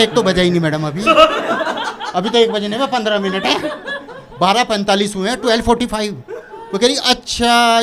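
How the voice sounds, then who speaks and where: A man's voice: sits high, very noisy. A man from Uttar Pradesh performing a stand-up comedy set, telling stories and acting out dialogue to a laughing audience. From Uttar Pradesh, India. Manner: animated storytelling, acting out dialogue.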